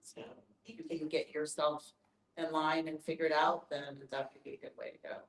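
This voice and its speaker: A woman's voice: low voice, little pitch movement, normal speed, a little hiss or hum. A woman giving encouraging, explanatory advice in a group discussion. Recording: echoey room.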